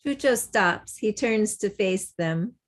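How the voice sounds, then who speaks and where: A woman reads scripted stage directions for a play over a video call. Her delivery is slow, with a mid-range female pitch. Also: animated.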